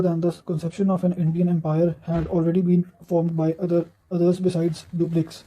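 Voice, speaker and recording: A man reads study notes aloud in a high-set voice with a very soft, mellow tone. His intonation stays flat and even. He recites formally, now and then tripping on a word and repeating it. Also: rough recording. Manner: recites formally, stumbling over words and re-reading them. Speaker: narrator reading quotations for exam revision. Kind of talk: read-aloud study narration.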